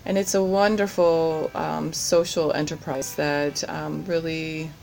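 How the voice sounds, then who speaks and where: A woman's voice: sits low, little pitch movement, slow pace, vocal fry, a little mellow, a little hiss or hum, rough recording. A woman answering interview questions about her work, hesitant, with many fillers and restarts. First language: English. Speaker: course participant, general manager.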